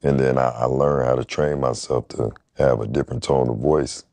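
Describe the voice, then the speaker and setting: A man with a very deep, gravelly voice, talking slowly and casually about his natural voice in an interview clip. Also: steady tone, dark tone, dry recording. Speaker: YouTuber in played clip.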